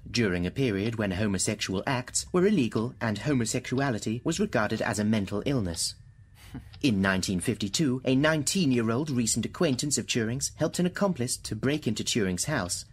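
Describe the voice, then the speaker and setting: A logical machine mind from a radio play, performed by a man, with a rather low and rough-edged voice. It answers formally and literally, in clipped computer phrases. The pitch moves in a lively way, though the temper stays unfeeling. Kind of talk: radio drama acting.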